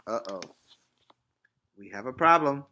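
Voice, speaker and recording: A man teaching preschool, reading a storybook aloud expressively and emphatically, pausing to put questions to young children. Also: medium pitch, animated, pushed and bright.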